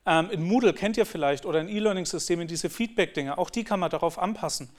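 A man's voice: high-pitched, fast talker. A man giving a talk on teaching, explaining in an earnest way and often restarting his sentences. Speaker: lecturer.

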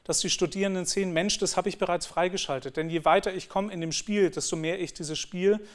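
A man's voice: high-pitched, steady tone, brisk. A man giving a talk on teaching, explaining in an earnest way and often restarting his sentences. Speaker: lecturer.

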